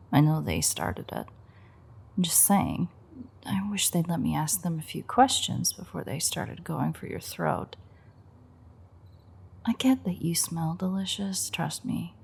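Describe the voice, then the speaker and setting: A husky, breathy vampire woman played by a voice actress speaks low and unhurried in an intimate audio roleplay. Her delivery is wry and teasing, with a dark predatory edge and a gravelly creak at the bottom of the voice. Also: heavily acted. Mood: wry, irritated. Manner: wry, foul-mouthed, teasing.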